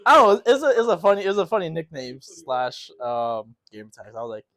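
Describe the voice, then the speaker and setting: A man's voice: fairly high pitch, sing-song, a little fast, fairly bright tone, no room sound, studio quality. A young man in a casual chat with friends as co-host, playful and teasing, laughing easily. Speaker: podcast co-host.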